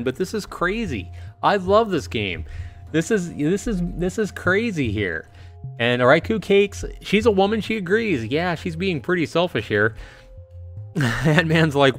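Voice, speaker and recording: A man doing casual livestream game commentary, reading dialogue aloud and talking straight to his viewers in an exclamatory, animated way. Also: fairly high pitch. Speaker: livestream host playing a visual novel.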